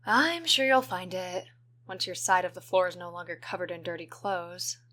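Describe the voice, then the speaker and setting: A woman's voice: lowish voice, normal speed, bright, forceful tone. A woman playing a neat, studious student roommate in an audio drama. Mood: dry.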